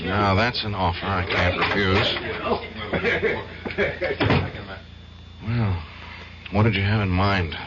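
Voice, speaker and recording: Weary, evasive attorney, lowish voice, understated; dull old radio recording. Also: male voice, steady tone, a little slow. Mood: affable. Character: weary, evasive male trial lawyer hiding unease. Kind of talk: radio drama acting. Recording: muffled, noisy 1970s radio recording.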